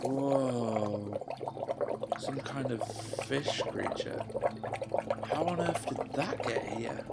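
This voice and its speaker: A man's voice: medium pitch, lively intonation, very slow, long pauses, very noisy. A man playing a practical, blunt male companion in an audio drama who keeps asking questions and teasing his partner. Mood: puzzled. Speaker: sidekick. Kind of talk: fan audio drama acting.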